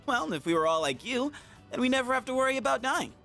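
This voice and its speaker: Easygoing teen boy, lively pitch swings, slangy, goofy, video game, faint music. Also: quick pace, creaky, heavily acted. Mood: casual, musing. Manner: casual, slangy, animated. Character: slangy, goofy teenage schoolboy, easygoing slacker.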